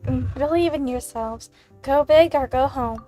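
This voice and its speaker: A woman's voice: high-pitched, measured pace, warm tone. A woman casually commentating on a game she is playing, rambling and reacting aloud. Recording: game music behind the voice.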